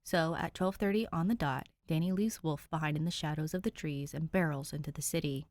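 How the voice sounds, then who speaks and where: A woman reading fan fiction aloud, narrating with an animated storytelling manner. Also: low-pitched, fairly quick, dry recording, clean studio sound. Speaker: podfic reader.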